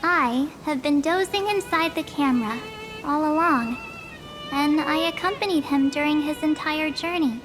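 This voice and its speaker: A woman's voice: high voice, normal speed, music in the background, close to an everyday voice, poor audio. A woman voicing an earnest, defiant young traveler in a video game, speaking assertively in casual phrasing. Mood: confident, challenging.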